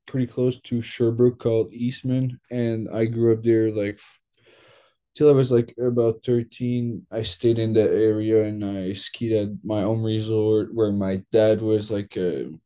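A man's voice: on the low side, little pitch movement, unhurried, dark tone. A young man from Quebec, a guest in a casual interview, talking loosely with lots of fillers. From Quebec, Canada. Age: young adult.

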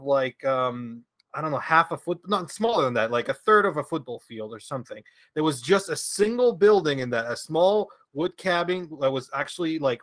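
A man's voice: on the high side, a bit bright, no room sound. A man from Venezuela, a podcast guest telling stories in a rambling, chatty way with frequent laughter and restarts. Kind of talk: casual conversational storytelling.

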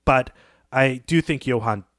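A man's voice: medium pitch, unhurried, a bit bright, no room sound, clean studio sound. A man co-hosting a podcast, giving reflective, analytical commentary on a story in conversational speech. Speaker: podcast co-host.

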